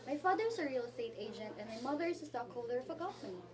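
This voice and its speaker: A woman plays a privileged, irritated college girl in an amateur radio play. She speaks high and from off the mic, with a busy crowd behind her, sounding hesitant yet boastful. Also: lively intonation, fast, distant mic, lightly acted. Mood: defensive, irritated. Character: privileged, irritated young female college student. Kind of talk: amateur radio drama acting.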